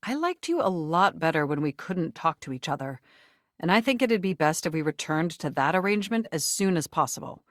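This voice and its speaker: A woman's voice: low voice, big pitch swings, a little fast, no room sound, clean studio sound. A woman voicing a sentient home computer in a dating video game, nerdy and romantic, with flirtatious, theatrical delivery and formal phrasing. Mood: cold, dismissive. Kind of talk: video game character voice acting.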